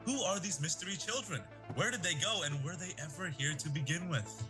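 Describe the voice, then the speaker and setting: A man's voice: on the high side, a little fast, music in the background, close to an everyday voice. A man playing a theatrical local morning news anchor in an audio drama. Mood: dramatic. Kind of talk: newscast parody in audio drama.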